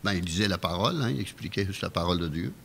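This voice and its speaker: A man with a Québécois French accent preaching a calm, anecdotal testimony sermon in a church, chuckling often. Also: deep voice, racing, creaky, a little hiss or hum. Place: church sanctuary. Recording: echoey church hall.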